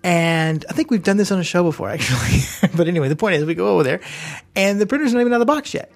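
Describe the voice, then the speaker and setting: A man's voice: high voice, lively intonation, racing. A man co-hosting a podcast, casually telling a long anecdote that keeps wandering into asides and self-corrections. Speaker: podcast co-host. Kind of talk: casual chat, storytelling. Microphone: studio microphone plugged into computer.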